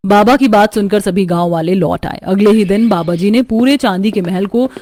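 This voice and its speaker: Mellow female story narrator. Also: medium pitch, normal speed. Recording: music under the voice.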